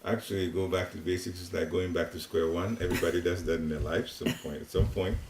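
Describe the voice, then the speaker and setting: A man's voice: low voice, steady tone, fast, a little mellow. An older man from Ghana with a Ghanaian accent, leading a devotional class in a home and talking in a chatty, rambling way with chuckling asides. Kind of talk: informal spiritual teaching talk. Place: living room in a private home. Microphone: seated, room recorder at a distance. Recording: roomy home recording, faint hiss.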